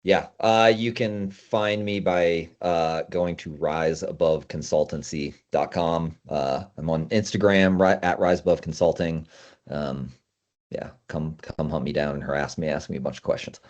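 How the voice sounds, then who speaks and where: This is a man with a deep voice, talking through advice in an informal, conversational way as a guest. His tone is dark and mellow. The recording sounds slightly dull and lo-fi. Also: unhurried. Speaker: podcast guest, climbing gym consultant. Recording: muffled, dull lo-fi audio.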